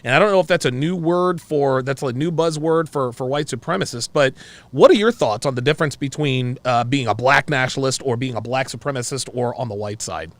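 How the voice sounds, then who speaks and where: A man's voice: medium pitch, brisk, dry recording. An American man hosting a live call-in discussion, giving his opinions emphatically and repeating phrases as he goes. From United States. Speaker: talk show host.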